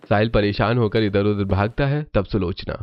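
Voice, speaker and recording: A man's voice: on the low side, normal speed. A man narrating a scripted cartoon story, on a muffled recording with music behind him.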